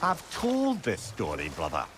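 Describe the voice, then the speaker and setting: A man voicing a talkative, wise old companion in a video game, cheeky, excitable and articulate. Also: fairly low pitch, unhurried, background noise. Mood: curious, insistent. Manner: animated, articulate, opinionated. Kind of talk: dramatic video game acting.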